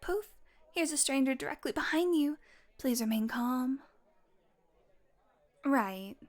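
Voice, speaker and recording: A woman's voice: high voice, lively intonation, slow pace. A woman playing a sardonic, flirtatious female vampire in an intimate audio roleplay, with a sarcastic, playful, casually profane way of talking. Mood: flirty, playful.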